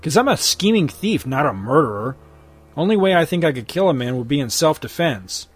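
A man's voice: a little high, very animated, brisk, slightly rough, soft background music. A man voicing a sly, crooked small-town sheriff of the old West who talks in a folksy drawl. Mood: smug. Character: sly middle-aged crooked frontier sheriff.

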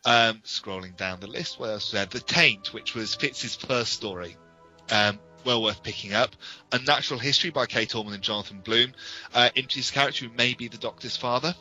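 A man with a very bright, crisp-edged voice co-hosts a review podcast with faint music behind him. He talks in detail, bookish and opinionated, moving between casual remarks and prepared history. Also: medium pitch, fairly quick, dull audio. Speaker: podcast co-host. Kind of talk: informal review talk with prepared history segments.

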